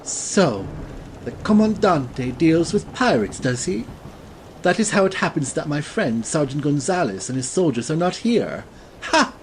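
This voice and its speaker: A woman's voice: low-pitched, very animated, measured pace, a little mellow, slight background noise, pitched below the performer's own voice, rough recording. A woman playing a mocking noble swordsman. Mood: commanding. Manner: mocking, commanding. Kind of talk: audiobook character voice.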